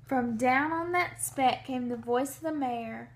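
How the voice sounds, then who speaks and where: A woman's voice: sits high, measured pace. A woman reading a children's storybook aloud and putting questions to young listeners.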